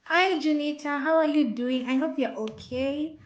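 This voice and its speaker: A woman's voice: high-pitched, steady tone, muffled recording. A woman from Kenya with a Kenyan accent, chatting casually with viewers on her live stream and often repeating herself. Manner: slow, repeats phrases, reads out viewer comments. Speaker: livestream host.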